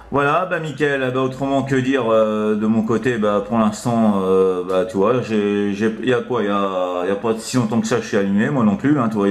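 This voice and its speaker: A man chatting casually as a CB radio operator, halting and repetitive. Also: on the low side. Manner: halting, repetitive, full of verbal tics. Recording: roomy, echoing room sound.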